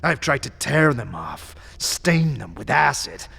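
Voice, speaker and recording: A paranoid madman, swings wildly between low sly muttering and frantic outbursts. His husky voice is full of gravel, made for an unhinged figure in an audio drama. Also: big pitch swings, measured pace, pushed and bright, heavily acted. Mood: bitter, uneasy. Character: paranoid, raving mad earlier incarnation of an immortal man.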